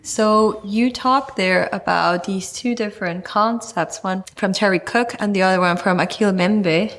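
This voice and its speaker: A woman with a medium-pitched voice conducts a podcast interview. She sets up her questions conversationally and hands them over to the guest. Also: a little slow, fairly bright tone.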